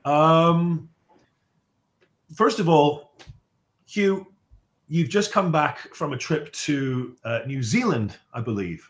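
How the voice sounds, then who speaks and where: A man's voice: fairly high pitch, slow pace, pauses often. A man moderating a panel and interviewing guests in an informal, conversational style. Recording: roomy, echoing sound.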